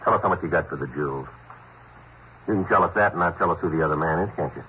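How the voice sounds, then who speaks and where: An actor playing a terse, deadpan police sergeant in a 1950s radio crime drama, asking clipped, flat, businesslike questions. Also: male voice, low voice, fast. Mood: probing. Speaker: police detective. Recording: dull 1950s radio broadcast, faint hiss.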